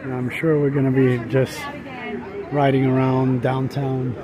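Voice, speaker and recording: A man's voice: medium pitch, monotone, measured pace, rough recording. A man in his forties filming a casual vlog on his phone outdoors at night, chatting and thinking aloud as he boards a horse carriage. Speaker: vlogger. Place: outdoors on a busy town street at night, then on a horse carriage. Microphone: phone held at arm's length. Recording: loud crowd and street noise.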